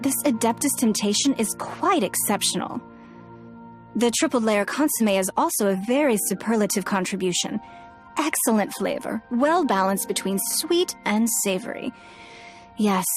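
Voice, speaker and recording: Woman as a game contest judge, medium pitch, composed, formal, measured, light music behind. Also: lightly acted. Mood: approving. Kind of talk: video game character acting.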